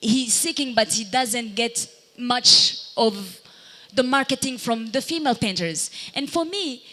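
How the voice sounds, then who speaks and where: Woman speaking with halting reflection. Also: fairly high pitch, pushed and bright. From Burundi. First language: Kirundi. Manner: halting and reflective, pausing to search for words. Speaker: dancer and panelist. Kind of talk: live panel discussion answering audience questions.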